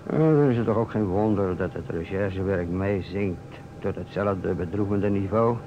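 An actor playing a world-weary, talkative police inspector in a radio drama, wry and grandiloquent. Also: male voice, low-pitched, normal speed. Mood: wry lament. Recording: muffled, noisy old radio recording.

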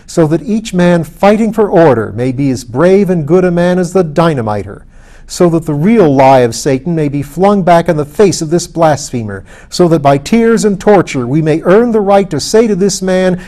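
Man acting an earnest, fervent hero, highish voice. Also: darkish tone. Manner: fervent, oratorical. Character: earnest, fervent male defender of law and order.

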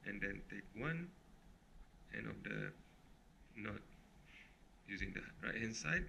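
Man, Malay native speaker, very gravelly low voice, unhurried halting tutorial talk, recorded on an action camera over a tabletop. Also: animated, sharp tone, a little hiss or hum. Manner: slow, halting, frequent "okay" and "uh" fillers. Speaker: fishing knot demonstrator. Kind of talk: hands-on tutorial narration. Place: indoors at a table, close over a grey felt mat. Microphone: action camera pointed down at his hands.

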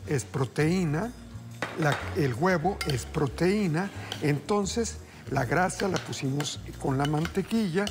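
Upbeat TV chef over music. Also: male voice, on the high side, lively intonation, slow. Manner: warm upbeat patter, addresses viewers as family. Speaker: TV chef host. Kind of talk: live cooking demonstration. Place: TV studio kitchen. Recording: background music under the voice.

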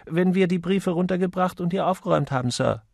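A man's voice: high voice, fast, creaky, darkish tone, dry recording, studio quality, pitched above the performer's own voice. A man voicing a young, deferential office errand boy in an audiobook, answering briefly and obediently. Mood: eager, respectful.

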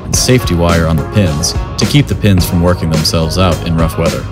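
A man narrating a sailing vlog in a calm, explanatory voice-over. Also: sits low, a little fast. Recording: voice-over with music behind.

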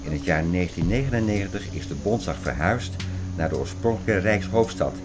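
A man's voice: sits low, animated, brisk, over music. An actor playing a fervent man expounding apocalyptic theories in a radio play, insistent, didactic and declamatory. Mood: fervent, lecturing. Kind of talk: radio play dialogue, insistent lecturing and reciting.

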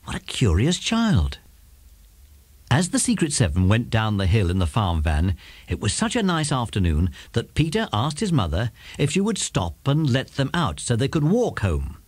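British male narrator for children's audiobook, very animated, faintly husky, close dry studio sound. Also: medium pitch, moderate pace, dry recording. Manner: animated, dramatic. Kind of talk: expressive scripted storytelling for children.

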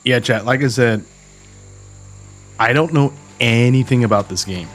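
A man from the USA giving relaxed, chatty commentary while streaming a game and talking to his chat from home. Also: medium pitch, unhurried, faint music behind. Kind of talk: casual gameplay commentary.

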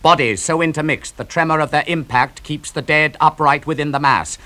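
A man giving a dramatic audiobook reading of epic poetry, theatrical, moving between hushed lines and ringing ones. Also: on the high side, normal speed, dry recording. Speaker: audiobook reader.

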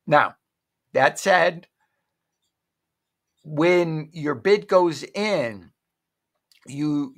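Gravelly middle-aged man rambling casually. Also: medium pitch, fairly bright tone. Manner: rambling, self-correcting, chatty. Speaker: online shopping vlogger. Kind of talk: casual screen-share walkthrough.

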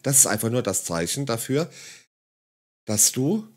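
A man's voice: medium pitch, sing-song, unhurried. A man giving mocking, condescending commentary on a video clip in a sarcastic tone. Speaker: satirical commentator.

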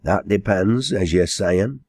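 A man's voice: fairly low pitch, very animated, measured pace, a little mellow, slightly rough, no room sound, clean studio sound, pitched above the performer's own voice, heavily acted. A man voicing a blunt, hearty rural quarryman in a storybook, with emphatic Lancashire dialect. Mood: gruff, doubtful.

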